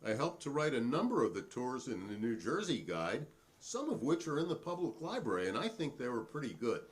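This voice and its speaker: A man's voice: medium pitch, very animated, brisk, natural voice. A man playing a defiant, proudly principled hearing witness in a dramatic transcript reading, formal and pointed in manner. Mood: matter-of-fact, proud.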